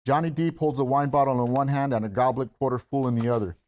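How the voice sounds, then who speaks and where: A man's voice: mid-range voice, fairly even intonation, fast talker, a little mellow, slight background noise. A man reading out stage directions as scripted narration at a screenplay table read.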